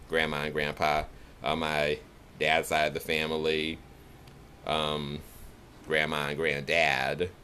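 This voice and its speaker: A young man from the Chicago area with a Chicago accent, talking in a nasal, monotone way for a casual accent challenge video. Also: very deep voice, slight background noise. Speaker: vlogger.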